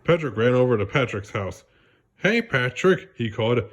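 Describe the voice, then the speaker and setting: A man's voice: medium pitch, fairly quick. A man narrating a storybook read-aloud with a congested, stuffy voice. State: congested, coughing.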